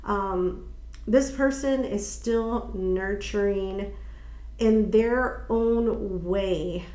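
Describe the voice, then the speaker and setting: A woman giving a casual tarot card reading, speaking slowly, a little nasal and stuffy from allergies, musing aloud with ums and restarts. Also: medium pitch, mellow, roomy sound, low-quality recording. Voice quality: slightly nasal. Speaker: tarot reader.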